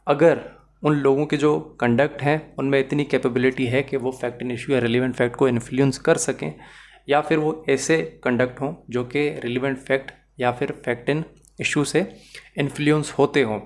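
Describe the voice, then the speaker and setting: A man with a Pakistani accent lectures on law, explaining step by step with examples and mixing English terms and phrases into his speech while addressing the learner directly. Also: mid-range voice.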